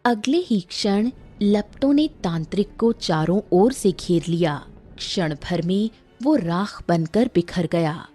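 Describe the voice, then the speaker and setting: A woman's voice: medium pitch, animated, slow pace, mellow, soft background music. A woman narrating a children's story in a scripted storytelling style.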